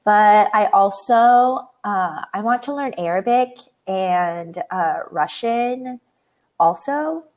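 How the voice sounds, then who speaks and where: A woman's voice: medium pitch, animated, takes it slowly, darkish tone. A young adult woman chatting casually on a video call, filling her speech with "like" and "you know". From grew up speaking English outside a Vietnamese-speaking home. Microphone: video call headset or laptop mic.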